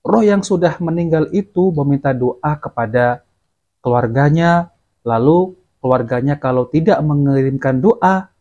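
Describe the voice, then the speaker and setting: A man's voice: on the high side, dark tone, muffled recording. A man hosting a religious commentary, addressing his viewers directly and explaining in a didactic way. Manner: didactic, repeats and explains clips. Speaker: channel host and commentator.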